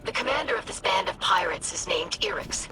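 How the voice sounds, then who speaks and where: A woman's voice: mid-range voice, expressive, fairly quick, close to an everyday voice. An actress playing a bookish young female alien scholar in a video game, polite and eager, with formal, precise phrasing. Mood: earnest caution. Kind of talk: video game cutscene voice acting. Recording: very noisy, distant game audio.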